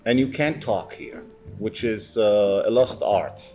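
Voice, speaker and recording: A man with a Greek accent, a guest chatting in a bar, speaking haltingly with many fillers and restarts. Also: lowish voice, warm tone, faint music behind. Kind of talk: casual chat.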